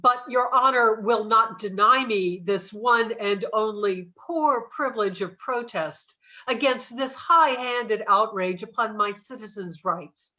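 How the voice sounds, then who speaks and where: A woman's voice: fairly high pitch, a little slow, pushed and bright, highs cut off. A woman playing a defiant, indignant suffragist on trial, speaking in formal, emphatic reader's theater oratory. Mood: indignant protest.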